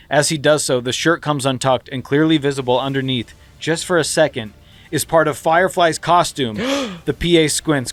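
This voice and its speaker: Man dryly narrating stage directions. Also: medium pitch. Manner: dry, steady narration with occasional laughter. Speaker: podcast host, narrator. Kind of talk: comic script reading of stage directions.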